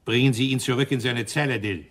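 A man's voice: mid-range voice, fast talker, a little hiss or hum, lightly acted. A man playing an irritable British police inspector in a German radio crime drama, dry and commanding. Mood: sardonic, commanding.

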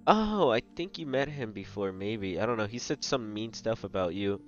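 A man's voice: fairly low pitch, animated, normal speed, pitched below the performer's own voice, lightly acted. A man playing a mild, matter-of-fact sidekick in an improvised comic skit, talking casually and a little hesitantly. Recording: dull lo-fi audio with music behind.

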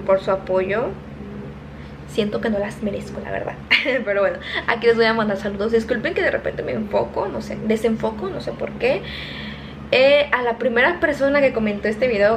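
A young woman with a Mexican accent, chatting casually as she reads out shout-outs to her viewers, filmed on a handheld phone in her kitchen. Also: fairly high pitch, background noise. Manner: chatty, reading names quickly, laughing at herself. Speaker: vlogger. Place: home kitchen, indoors. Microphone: phone held at arm's length.

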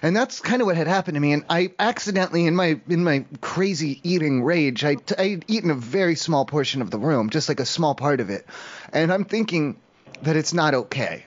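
Guy prank calling, kinda high voice, dopey halting rambling, dull muffled audio. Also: animated. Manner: rambling, halting, feigned stoned naivety. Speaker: prank caller, show host. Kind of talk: improvised prank phone call.

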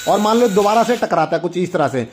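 High-pitched, enthusiastic young man. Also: expressive, fast, a little hiss or hum. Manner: enthusiastic, repetitive, exclaiming. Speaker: toy review host. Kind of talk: casual product demonstration to viewers.

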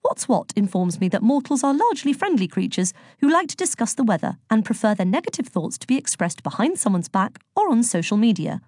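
A woman plays a young storybook goddess baffled by mortals. She is blunt and literal, with precise, matter-of-fact delivery in a raised pitch. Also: big pitch swings, fairly quick, few pauses, darkish tone, breathy edge, no echo, clean studio sound.

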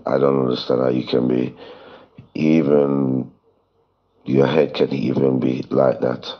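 Really deep guy telling someone off in a voice message, belittling, keeps repeating himself, muffled sound. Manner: scolding, belittling, repetitive. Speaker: land seller. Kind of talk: voice message to a customer. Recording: dull played-back voice note.

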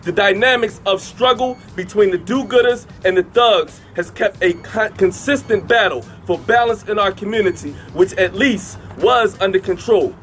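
Guy preaching outside in a high voice, emphatic public reading, bit of music. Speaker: speaker reading at a memorial. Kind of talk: impassioned public address and declamatory reading. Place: outdoors in a park by a statue. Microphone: camera a few metres away.

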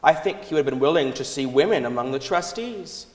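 A man with a quite high voice gives a dramatic reading of old texts on stage. The sound is echoey, with the long reverb of a concert hall. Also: animated, fairly quick, noisy background. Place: large concert hall stage before an audience.

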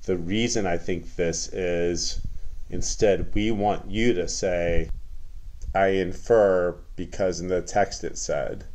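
A man explaining an assignment as a teacher, in a deliberate, careful manner. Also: sits low, fairly even intonation, a little mellow, rough recording. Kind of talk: instructional screen explanation for students.

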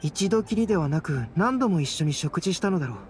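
A young male artist speaks with gentle composure and a polite, scholarly air. It is anime game dialogue, with soft music underneath. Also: high voice, expressive, brisk. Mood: calm. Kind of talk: anime-style game voice acting. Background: background music.

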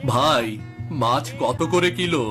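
A man's voice: medium pitch, slow pace, bright, forceful tone. A man playing a poor, worried village farmer and father in a cartoon, with a plaintive, questioning way of talking over music. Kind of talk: Bengali cartoon voice acting.

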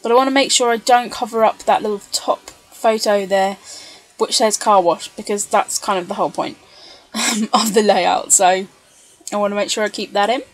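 A woman's voice: medium pitch, steady tone, moderate pace, poor audio. A woman giving a casual, chatty, rambling voice-over for a craft video. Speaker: scrapbooker narrating craft video.

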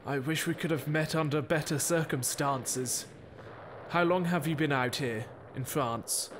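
Slow-paced war-weary army captain played by a man. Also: fairly high pitch, close to an everyday voice. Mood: steady, concerned. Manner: measured, reassuring, formal. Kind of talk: audio drama acting. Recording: faint battlefield noise behind. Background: artillery fire and battlefield ambience.

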